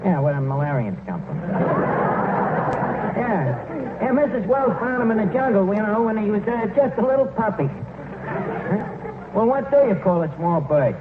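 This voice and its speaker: Pompous New York tavern wiseguy, old tinny radio. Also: male voice, sits high, sing-song, normal speed, pauses often. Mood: breezy, wisecracking. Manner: mangles grammar and big words with pompous confidence. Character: malaprop tavern manager, pompous wiseguy schemer. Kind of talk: 1940s radio comedy acting. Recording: noisy, tinny 1940s radio broadcast, roomy.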